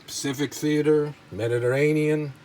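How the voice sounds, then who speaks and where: A man's voice: on the high side, steady tone, moderate pace, a little mellow, poor audio. A man giving a casual, unscripted show-and-tell as a collector, talking in an informal, rambling and enthusiastic way. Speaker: collector presenting models and memorabilia. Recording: clear background noise.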